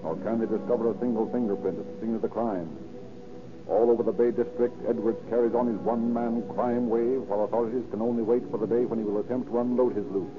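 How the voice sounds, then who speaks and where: An actor as the formal, dramatic narrator of a 1930s radio crime story, on a dull old recording. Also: male voice, medium pitch, steady tone, fast, close to an everyday voice. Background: loud music.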